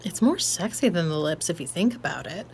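A woman's voice: lowish voice, very animated, a little fast, a little mellow. A woman playing a brash, cheeky app user in a naturalistic audio drama, talking in casual, flippant slang. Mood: teasing, impatient. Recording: noticeable background noise and ambience.

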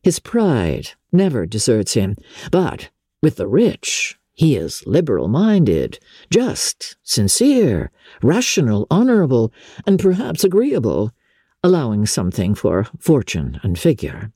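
A woman voicing an aggrieved young Regency gentleman in a dramatic audiobook reading, measured, confiding and mournful in manner. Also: very deep voice, wildly swooping pitch, slightly rough, dry recording, studio quality, exaggerated voice.

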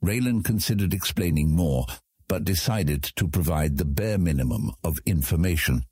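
A man narrates scripted fantasy fiction. His voice is very deep, dark and husky. The delivery moves freely in pitch as he tells the story. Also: lively intonation, normal speed, no room sound, studio quality.

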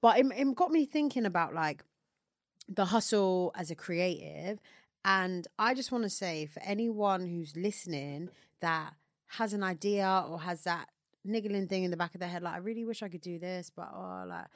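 A young woman, co-hosting a podcast, telling stories in an animated way, swearing and laughing often. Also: lowish voice, moderate pace, no echo, clean studio sound. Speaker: podcast co-host. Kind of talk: casual chat.

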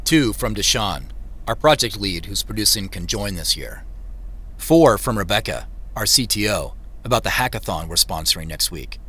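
An actor playing a thoughtful, nervous man, narrating an audio drama in the first person in a measured, intimate way. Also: on the low side, lively intonation, pushed and bright. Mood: pensive, uneasy. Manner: measured, reflective, intimate storytelling. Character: Joseph, thoughtful, nervous man retracing family memories. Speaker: narrator and lead character. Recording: music under the voice.

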